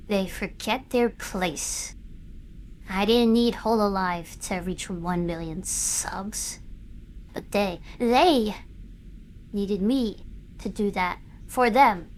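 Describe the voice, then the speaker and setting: A woman's voice: mid-range voice, slow, slight background noise, lightly acted. A woman playing a proud, vengeful young female internet star in a dramatic audio drama, speaking in an imperious, bitter, theatrical way. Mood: haughty. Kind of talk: dramatic acted monologue, fan audio drama.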